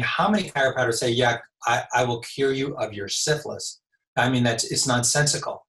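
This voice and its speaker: A man in his sixties with a slightly raspy voice, a chiropractor giving measured explanatory answers in an interview. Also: mid-range voice, a bit bright. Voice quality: a little raspy. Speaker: chiropractor guest. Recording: echoey room.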